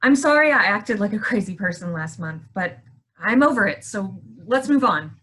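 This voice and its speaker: A woman's voice: mid-range voice, expressive, normal speed, a bit bright, close to an everyday voice. An actress playing a shaken, touchy woman in a staged play reading. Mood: brittle, dismissive. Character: shaken, touchy woman insisting she is over it.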